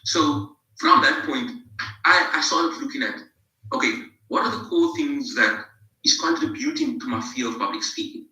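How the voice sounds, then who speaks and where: A man's voice: on the high side, huge pitch swings, moderate pace, pushed and bright, roomy sound, far from the mic, rough recording. A man in his thirties with a South African accent, telling his own story as a podcast guest, reflective and restarting his phrases. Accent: South African English. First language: English, bilingual with Afrikaans. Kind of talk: personal storytelling in an interview.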